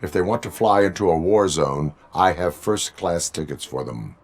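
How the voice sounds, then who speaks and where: A man playing a stoic cyborg police officer in a video game, formal, clipped and deadpan. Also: deep voice, normal speed, creaky. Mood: dry, resolute.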